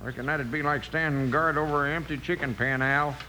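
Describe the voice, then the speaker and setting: High, sharp-voiced folksy outlaw. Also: male voice, fairly even intonation, a little fast. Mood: dry, folksy. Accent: rural American. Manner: drawling, colloquial. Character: folksy, laconic western outlaw lookout. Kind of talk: old-time radio western acting. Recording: faintly noisy old radio recording.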